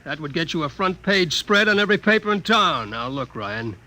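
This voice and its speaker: A busy police commissioner in a vintage radio play. His voice is high and sharp, with brusque, sardonic impatience. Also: lively intonation, fairly quick. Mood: impatient sarcasm. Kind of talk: old-time radio drama acting.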